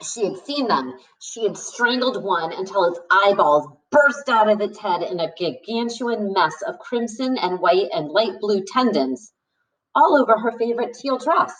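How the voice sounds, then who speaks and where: A woman's voice: medium pitch, lively intonation, unhurried, a bit bright. A woman reading a novel aloud expressively as a storyteller. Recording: echoey room, distant from the mic.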